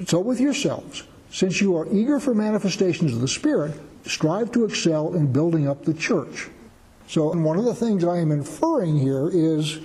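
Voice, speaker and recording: A man's voice: fairly high pitch, big pitch swings, moderate pace, warm tone, big-room sound, rough recording. A man giving a conversational verse-by-verse Bible teaching, explaining with everyday examples.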